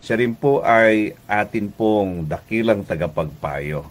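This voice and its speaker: A Filipino man preaching a devotional radio message as pastor and host, deliberate and emphatic, often checking in with listeners. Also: lowish voice. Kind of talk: devotional radio sermon in conversational teaching tone.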